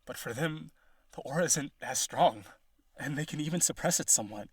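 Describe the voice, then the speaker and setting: A man playing a possessive, needy vampire aristocrat in a romantic audio roleplay, coaxing the listener with eager theatrical delivery and a breathy voice. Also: on the high side, a little fast, dry recording. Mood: wistful.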